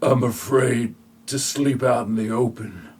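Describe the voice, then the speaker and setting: A man playing a weary, frightened young female runaway with a husky, whining delivery in an amateur parody audio play. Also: medium pitch, unhurried, a little mellow.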